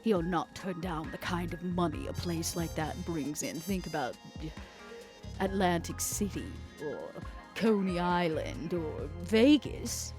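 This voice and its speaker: A woman playing a scheming, worldly female vampire businesswoman in a tabletop roleplay, speaking in a theatrical, deliberate, insinuating way. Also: lowish voice, over music, natural voice. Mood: sly, scornful.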